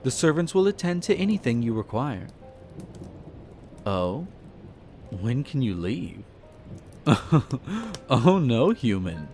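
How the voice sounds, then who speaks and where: A man playing a possessive, flirtatious vampire master in a roleplay audio drama, speaking formally and condescendingly with theatrical pauses. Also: medium pitch, very animated, a little mellow. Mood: composed, haughty, then amused, menacing. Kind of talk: scripted roleplay audio drama, addressing the listener. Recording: music behind the voice. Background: background music.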